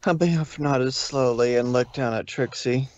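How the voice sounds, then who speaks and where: A man's voice: mid-range voice, moderate pace, a little mellow, lo-fi sound. A man reading a story aloud as amateur narrator, sometimes stumbling over the words.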